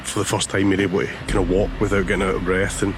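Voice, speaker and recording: A hoarse man, chatting casually as he vlogs outdoors about landscape photography, with many pauses and fillers. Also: low voice, flat delivery. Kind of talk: casual vlog narration. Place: outdoors on a lakeshore, walking. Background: background music.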